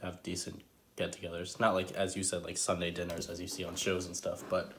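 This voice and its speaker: Young man from New England, low and relaxed, faint room noise. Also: fairly quick. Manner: laid-back, shrugging, lots of "I mean". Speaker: interviewee. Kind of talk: casual interview answers.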